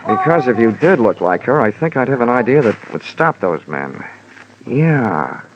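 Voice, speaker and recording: An actor playing a wry, slangy, hardboiled insurance investigator who also narrates an old-time radio drama. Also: male voice, mid-range voice, very animated, moderate pace, very noisy, lightly acted. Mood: wry, urgent. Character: wry, tough American insurance investigator hero. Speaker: lead and narrator. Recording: muffled old radio recording.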